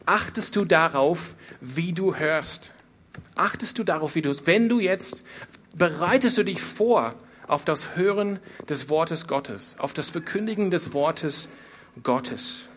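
A man's voice: fairly high pitch, lively intonation, slow pace, a bit bright. A man preaching a sermon, speaking earnestly with emphatic repetition and rhetorical questions. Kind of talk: sermon, expository Bible preaching.

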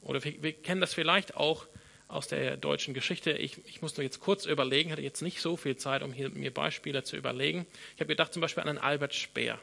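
Gravelly pastor with an Australian accent. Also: male voice, a little high, fairly even intonation, quick pace, sharp tone. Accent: Australian English. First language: English. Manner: thinking aloud with restarts and repeated words. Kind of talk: sermon.

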